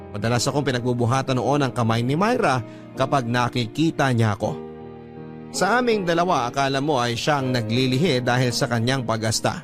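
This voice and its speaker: A Filipino man reading a scripted first-person story as a radio drama narrator. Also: medium pitch. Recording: music bed under narration. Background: instrumental music.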